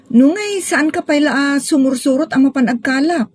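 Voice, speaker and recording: A woman acting an eager, outspoken young village woman in a radio drama, expressive and bantering, with a Filipino accent. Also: high-pitched, moderate pace, no room sound, natural voice. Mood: curious.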